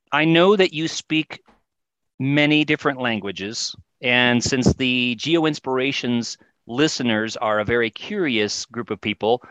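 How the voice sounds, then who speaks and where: A man's voice: medium pitch, a bit bright, dry recording. A man hosting a podcast who interviews his guest in a friendly, polite way. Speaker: podcast host. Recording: dry, dull lo-fi sound.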